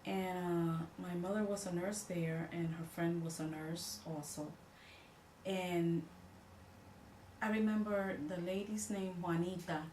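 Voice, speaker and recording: A woman from the Dominican Republic with a Dominican accent, recounting her family history in a halting way, often repeating herself as she searches for words. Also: lowish voice, fairly even intonation, a little mellow, recorded from a distance. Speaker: interviewee. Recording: echoey room, voice off-mic with faint noise.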